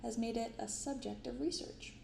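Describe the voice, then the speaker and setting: A soft-spoken woman hosting an ASMR video, reading aloud and commenting casually in a gentle, quiet delivery. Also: a little high, steady tone, moderate pace, gravelly. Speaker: host of a card-opening channel. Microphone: binaural microphone. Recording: roomy sound with some background noise.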